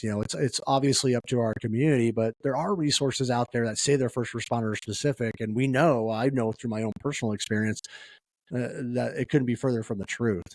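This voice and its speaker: A man's voice: mid-range voice, darkish tone, dry recording. A man hosting a conversational podcast interview as a peer-support advocate, speaking earnestly and with emphasis.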